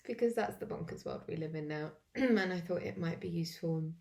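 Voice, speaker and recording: Low gravelly woman, wheezy and coughing. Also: a little mellow. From England. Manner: halting, with many fillers. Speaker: vlogger demonstrating tapping. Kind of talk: casual self-help walkthrough. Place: home, alone.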